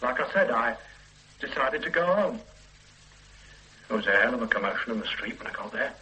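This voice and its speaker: An actor playing a weary, regretful middle-aged man under police questioning in a radio play, speaking in a halting, hesitant way. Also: medium pitch, animated, rough recording. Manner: halting, hesitant confession with quiet weary asides. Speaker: murder suspect under questioning. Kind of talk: 1970s-80s radio play acting.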